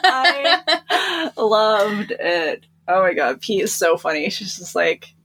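A woman's voice: mid-range voice, slow, a bit bright, breathy edge. A giggly, chatty woman co-hosting a book podcast and casually recapping stories.

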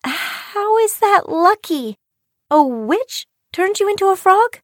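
Storybook little girl acted by a woman, curious, excitable, high and exclaiming. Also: slow pace, no echo, studio quality, exaggerated voice. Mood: amazed, curious. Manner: exclaiming, stammering, eager questions. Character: curious, excitable young girl, budding scientist.

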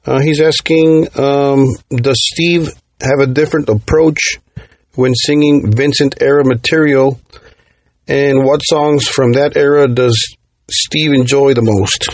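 A man's voice: medium pitch, fairly even intonation, unhurried, no echo. A man hosting an interview, reading out fan questions and praising his guest with a fan's enthusiasm.

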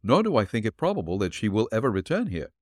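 A man voicing a calm, shrewd detective who questions dryly and evenly. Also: lowish voice, dry recording. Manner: measured, dry questioning.

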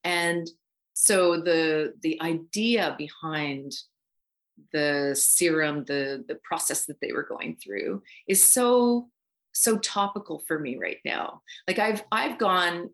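A middle-aged woman with a slightly low voice chats casually as an interview guest. She talks informally, often quoting her own reactions as she explains things. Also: slow, fairly bright tone. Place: home recording space, remote.

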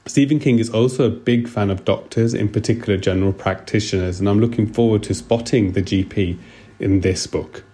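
Low-pitched, mellow-voiced man giving a book review. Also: brisk. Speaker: GP and training programme director, podcast guest. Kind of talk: prepared book review, spoken to listeners.

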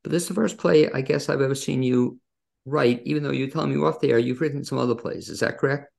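A man hosts an interview show from a video call. His voice sits at an average male pitch and is extremely creaky and gravelly. He speaks as a presenter, setting things up for listeners and putting questions to his guest. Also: fast talker, a little mellow. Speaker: podcast host. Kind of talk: interview hosting on a positive news podcast. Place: remote video call from his own studio.

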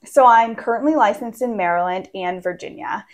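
A woman's voice: medium pitch, normal speed. A young woman from Maine, a real estate agent answering interview questions in a casual, chatty way with lots of fillers.

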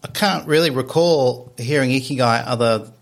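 A man's voice: medium pitch, normal speed, gravelly. A man in his early fifties hosting a podcast interview in a conversational style. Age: early 50s. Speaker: podcast host.

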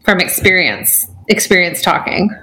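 A woman's voice: on the low side, animated, moderate pace, sharp tone, low-quality recording. Woman, podcast guest, TV writer, dry, self-mocking, chatty. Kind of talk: casual chat.

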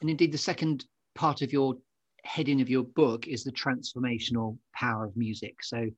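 A man with a mid-pitched, husky voice hosts a casual podcast interview in a chatty way. The recording is dry and a little dull. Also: level tone, moderate pace, no echo. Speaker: podcast host.

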